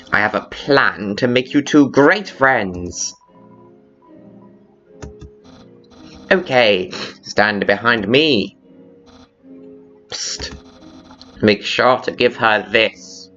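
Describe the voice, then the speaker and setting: A man's voice: lowish voice, slow, pushed and bright. Game fan dub: childlike male skeleton acted by a man, eager, pompous, exclaiming, dull lo-fi recording. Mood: eager, conspiratorial. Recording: muffled lo-fi audio with background music.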